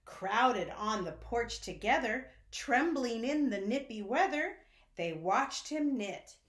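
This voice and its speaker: A woman reads a children's story in a lively, swooping, sing-song way. She takes her time and speaks directly to young listeners, in an echoey room. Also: a little high, slow. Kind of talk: children's picture-book read-aloud. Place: indoor room at home.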